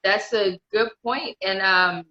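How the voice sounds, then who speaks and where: A woman in her thirties talks openly as a guest author, circling back and restarting her thoughts as she goes. Her voice is bright and forward. It reaches us through a video call with dull, lo-fi livestream audio. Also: medium pitch, slow pace. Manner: candid, rambling, many restarts. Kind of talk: casual interview chat.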